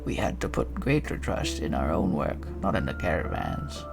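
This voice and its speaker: A man's voice: rumbling low, very animated, moderate pace, vocal fry, music in the background, voice pushed down, heavily acted. A man voicing an elderly, matter-of-fact village woman with folksy, rustic phrasing in a fantasy game reading. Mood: grave, measured. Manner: folksy phrasing with tag endings.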